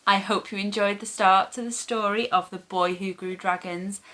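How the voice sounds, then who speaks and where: A woman reading a children's story aloud as a storyteller, with expressive, animated phrasing for young listeners. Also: medium pitch, normal speed.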